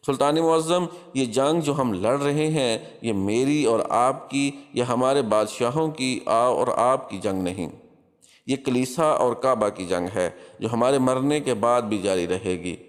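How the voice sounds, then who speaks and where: A man's voice: mid-range voice, natural voice. A man voicing a captured crusader spymaster in a storybook tale, coolly defiant, with solemn, declamatory delivery. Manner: solemn, declamatory, emphatic address. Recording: long hall-like reverb.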